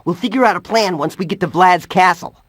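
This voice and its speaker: A man's voice: high-pitched, a little fast, a bit bright, no room sound, clean studio sound. An actor voicing a determined, earnest teenage boy hero in a cartoon video game.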